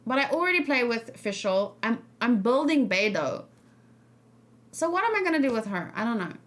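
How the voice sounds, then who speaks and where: A woman's voice: fairly high pitch, big pitch swings, moderate pace. A woman hosting a casual livestream discussion, rambling and hesitant as she thinks aloud and talks with her viewers. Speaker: streamer host.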